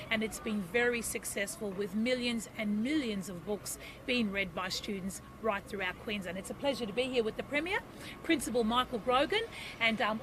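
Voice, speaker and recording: An Australian woman giving prepared public remarks as a government minister. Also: a little high. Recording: outdoor audio with traffic noise.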